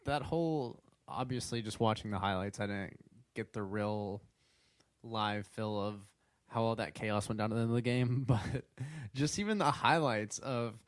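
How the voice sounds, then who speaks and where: A young man co-hosting a casual sports podcast, laid-back and quick to get excited and laugh. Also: fairly low pitch, no room sound. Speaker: podcast co-host.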